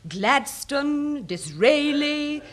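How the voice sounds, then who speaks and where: An earnest English lady campaigner in a radio sitcom. She is gushing and fluttery, very high in pitch and unhurried, with huge swoops. There is a faint hiss on the old recording. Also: sing-song, full character voice. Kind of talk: British radio sitcom acting.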